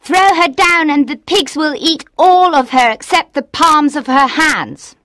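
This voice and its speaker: A pitiless little girl with a very high, bright, childish squeak, as a man narrating the story puts her on. She speaks slowly and coldly, and the voice is close and dry. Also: expressive, dry recording, exaggerated voice. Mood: stern. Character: cold stubborn little girl, leader of vengeful children.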